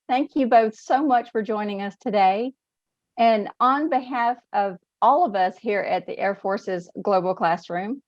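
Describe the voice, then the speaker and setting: A woman's voice: on the high side, a little mellow, no echo. A woman hosting an interview from a script in a polite, formal manner, heard through muffled audio. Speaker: interview host.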